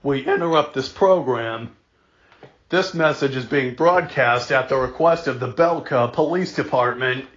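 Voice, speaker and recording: A man playing a formal, grave emergency alert announcer, reading scripted warnings in a deliberate, measured way. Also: mid-range voice, fairly bright tone, lightly acted. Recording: dull lo-fi audio.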